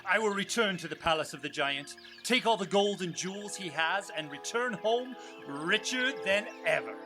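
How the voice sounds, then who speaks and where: An actor playing a boastful, adventurous sailor captain in a theatrical radio drama, speaking in a grandiose, declamatory way. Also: male voice, high-pitched, lively intonation, moderate pace, music in the background. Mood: self-satisfied.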